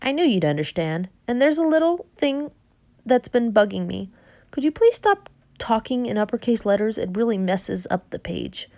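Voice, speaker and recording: A woman's voice: a little high, very animated, moderate pace, a little mellow, no echo, voice pushed up. Cocky young storybook hero, as a woman reading aloud puts him on, bossy and exasperated, close and dry. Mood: brash. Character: brash cocky young male storyteller Jack.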